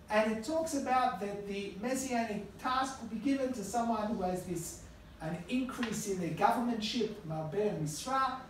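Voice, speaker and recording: A middle-aged man from Australia, a rabbi giving a conversational classroom lecture and telling stories with asides to his students. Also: high voice. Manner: relaxed storytelling with asides to the students. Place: classroom with a live audience. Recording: echoey distant classroom recording with faint noise.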